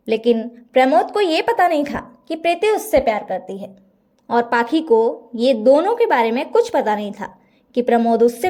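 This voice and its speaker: A woman's voice: a little high, a little mellow. A woman narrating a scripted bedtime story as a storyteller.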